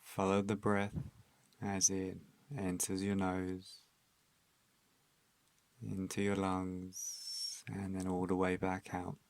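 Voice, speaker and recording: A man narrating a guided meditation in a calm, soothing, instructional manner, leaving long pauses between phrases. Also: low voice.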